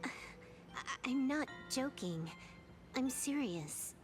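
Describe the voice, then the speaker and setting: An actress voicing a sharp-tongued young woman in a video game, proud and wry yet guarded about her soft heart. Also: high voice, animated, takes it slowly, music in the background. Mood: dry, wry. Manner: wry, teasing, emotionally guarded. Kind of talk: scripted video game voice acting.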